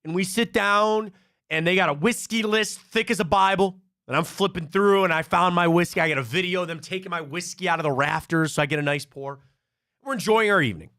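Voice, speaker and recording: A man from Cleveland hosting a live sports talk show, telling stories in an animated way and often repeating himself. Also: high-pitched, a little fast, no room sound, studio quality. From Cleveland, Ohio.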